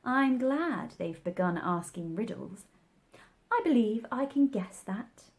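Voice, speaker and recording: A woman voicing a prim, curious little girl who is proper, emphatic and quick to object, in a storybook reading. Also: on the high side, animated.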